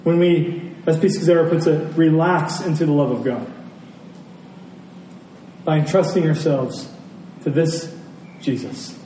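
A man's voice: fairly high pitch, steady tone, a little slow, long pauses, warm tone. A man preaching a sermon as a pastor, earnest, repeating key phrases for emphasis. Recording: roomy, distant pickup with faint noise.

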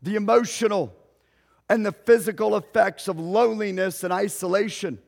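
A male pastor, telling a story in a sermon slowly and deliberately, raising his voice at dramatic moments. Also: high voice, creaky, darkish tone. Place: church sanctuary. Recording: long hall reverb.

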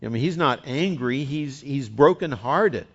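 A man's voice: medium pitch, lively intonation, unhurried, highs cut off. A man from West Virginia preaching a sermon and telling a story in a chatty, folksy way. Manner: folksy and chatty, repeats words when starting sentences. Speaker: pastor.

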